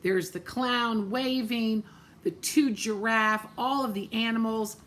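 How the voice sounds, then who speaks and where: A woman reading a children's picture book aloud, gently pointing out the pictures. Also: a little high, slow, slight background noise. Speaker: storybook reader.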